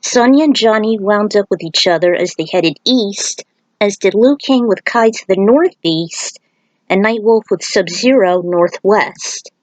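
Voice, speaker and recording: A woman's voice: medium pitch, unhurried, no echo, low-quality recording. A woman reading an action story aloud as a narrator, with casual asides. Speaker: fan fiction author reading aloud.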